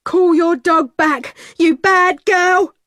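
Woman voicing a surly fat male peddler, slow and raised in pitch, dry close recording. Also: pushed and bright, no room sound, heavily acted. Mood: shouting. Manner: slow, gruff, hostile. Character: fat grumpy middle-aged male peddler.

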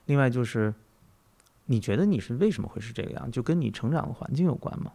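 A man's voice: mid-range voice, animated, fast talker, vocal fry, warm tone. A man hosting a reflective conversational interview, speaking emphatically and repeating phrases to drive points home. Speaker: podcast host and teacher.

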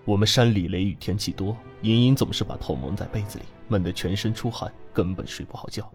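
A man's voice: on the low side, a little fast, darkish tone, faint music behind, lightly acted. A man voicing a grieving middle-aged farmer turned killer, terse, menacing and insistent, in an audiobook story. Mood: sorrowful.

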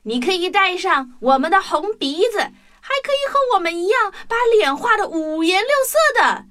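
Playful squeaky little creature, extremely high pitch, swooping intonation, children's radio play. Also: female voice, animated, normal speed, fairly bright tone. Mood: excited, inviting. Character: excitable, squeaky clown or little dog.

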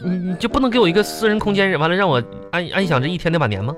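A man's voice: sits high, expressive, very fast, music in the background. A man playing a grumbling, evasive husband in a live radio comedy skit, rambling and whining in a Northeastern Chinese Mandarin accent. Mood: pleading. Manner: whiny, rambling, colloquial exaggeration. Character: grumbling, evasive husband spinning excuses to his wife. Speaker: radio comedy host and performer.

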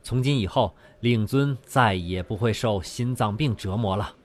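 A man's voice: fairly low pitch, moderate pace, natural voice. Man acting a courteous, reassuring hospital doctor. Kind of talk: radio drama acting.

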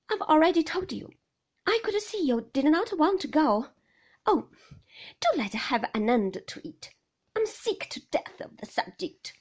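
A woman's voice: high-pitched, pitched above the performer's own voice, heavily acted. A woman reading an anxious young wife. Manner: pleading, emotional.

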